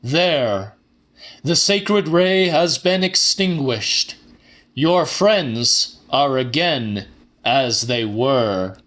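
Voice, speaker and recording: A man's voice: medium pitch, lively intonation, extremely slow, a bit bright. A man voicing a mad scientist-prophet preaching grandly from a stage, theatrical and declamatory, in a dramatic audiobook. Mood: grandly solemn. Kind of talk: dramatic audiobook character reading.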